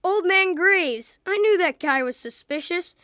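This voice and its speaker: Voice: very high voice, expressive, a little slow, bright, forceful tone, dry recording, studio quality, full character voice. A young performer playing a jumpy, suspicious boy in a radio mystery, blurting things out nervously. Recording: faint background noise.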